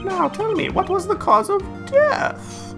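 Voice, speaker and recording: A woman voicing a solemn, formal male judge in a video game courtroom, speaking in a measured, deliberate way. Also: very high voice, very animated, music in the background, pitched above the performer's own voice, full character voice. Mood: formal, stern.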